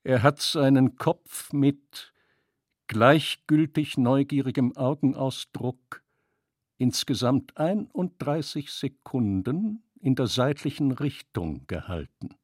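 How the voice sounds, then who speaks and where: Male narrator for literary prose: unhurried, husky, with lively pitch movement. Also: no room sound, studio quality. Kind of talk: literary reading, scripted narration.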